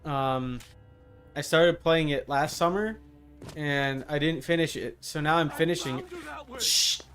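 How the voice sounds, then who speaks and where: A man's voice: fairly high pitch, a little slow, a bit bright. A man giving casual live commentary as he streams a video game, rambling and easily sidetracked. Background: game music.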